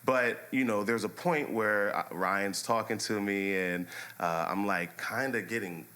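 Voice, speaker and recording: Lively low-pitched podcast host. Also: male voice, a little slow. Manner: animated storytelling, many "you know what I mean" fillers. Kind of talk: casual interview chat.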